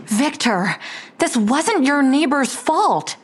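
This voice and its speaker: A worried wife, high lilting voice, scolding but keeping the peace, audio drama. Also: female voice, big pitch swings, takes it slowly, heavily acted. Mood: reproving.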